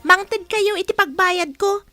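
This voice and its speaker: Whining schoolgirl, extremely high voice, piercing and bright, demanding, radio serial. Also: measured pace, soft background music, heavily acted. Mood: demanding, upset. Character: whining schoolgirl daughter demanding tuition money. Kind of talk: radio drama acting.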